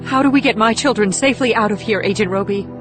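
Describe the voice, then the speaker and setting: A woman's voice: medium pitch, fast talker, close to an everyday voice. A woman playing a frightened but firm mother, a first lady, in a thriller audiobook, speaking formally and anxiously. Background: music under the dialogue.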